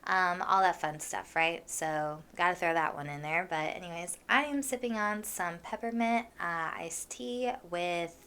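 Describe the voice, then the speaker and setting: A young woman hosting a casual podcast, chatting with a froggy, hoarse voice from allergies. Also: on the low side, measured pace, few pauses, fairly bright tone. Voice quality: froggy, husky voice. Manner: chatty, with many fillers and laughs. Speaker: podcast host. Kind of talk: casual solo podcast chat.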